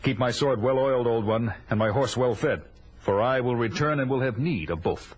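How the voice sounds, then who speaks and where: A man's voice: medium pitch, normal speed, slightly rough, close to an everyday voice, poor audio. An actor playing a vengeful barbarian warrior who solemnly narrates his own quest in an audio drama. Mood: commanding. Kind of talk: scripted first-person audio drama narration. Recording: music behind the voice.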